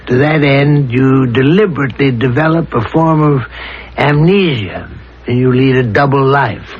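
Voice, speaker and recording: An actor playing a calm, insistent psychiatrist with a measured, deliberate delivery in a 1970s radio drama. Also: male voice, medium pitch, close to an everyday voice. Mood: insistent, methodical. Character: calm, probing male psychiatrist pressing his patient with theories. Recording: dull lo-fi 1970s radio recording.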